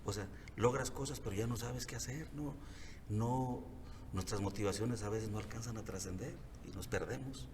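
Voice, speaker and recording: Low-voiced man, conversational. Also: normal speed, a little mellow. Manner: conversational, gives examples. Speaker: guest. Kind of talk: reflective conversational podcast. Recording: hall reverb with faint noise.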